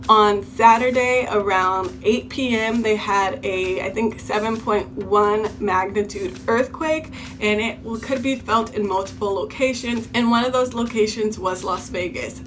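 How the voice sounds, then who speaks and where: A woman's voice: medium pitch, fairly bright tone. A young woman from California's Bay Area, a beauty vlogger, chatting through a story-time video and often repeating herself. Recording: music playing underneath.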